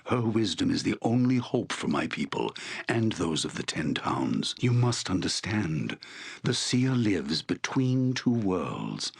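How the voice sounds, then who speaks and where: A noble fantasy-game shaman with a very deep, husky voice. He speaks slowly and formally, with solemn gravity and broad swells of intonation. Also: exaggerated voice. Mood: grave urging. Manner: solemn, formal, deliberate delivery. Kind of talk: dramatic fantasy video game voice acting.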